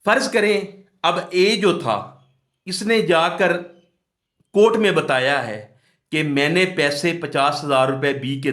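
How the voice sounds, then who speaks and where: A man's voice: a little high, a bit bright. A man with a Pakistani accent teaching law, reading provisions aloud and explaining them in a didactic way, often posing questions and answering them. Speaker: law lecturer. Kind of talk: exam-focused lecture, reading statutes aloud and explaining.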